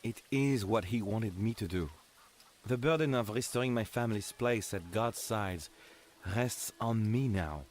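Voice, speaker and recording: A man's voice: fairly low pitch, measured pace, a little hiss or hum, close to an everyday voice. A man playing a stern, pious young medieval archer in an audiobook drama, speaking formally and without emotion. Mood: solemn, burdened. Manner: solemn, flat, formal. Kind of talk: audiobook character acting.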